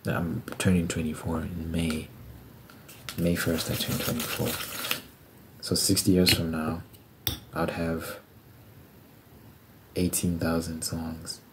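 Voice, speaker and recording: Low-voiced young man musing aloud. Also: completely flat delivery, takes it slowly, pauses often, noisy background. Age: 20s. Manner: muses aloud, doing sums and talking to himself. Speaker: ASMR creator and musician. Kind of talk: casual rambling ASMR chat.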